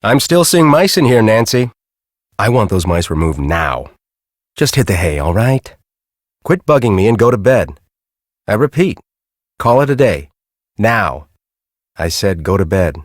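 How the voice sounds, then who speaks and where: Gruff, impatient game boss, lowish swooping voice, cynical storm-chaser, very dry sound. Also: male voice, big pitch swings, a little slow, long pauses, dry recording. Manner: sarcastic, impatient. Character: gruff, cynical, bossy male storm-chasing team leader. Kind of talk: video game voice acting.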